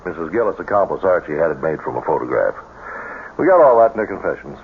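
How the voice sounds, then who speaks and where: A brisk, gruff military colonel from a radio play. His very low, creaky voice swings widely in pitch as he speaks formally. It comes through a thin, hissy old radio broadcast. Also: sing-song, phone-quality sound. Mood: grave, explaining. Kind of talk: radio drama acting.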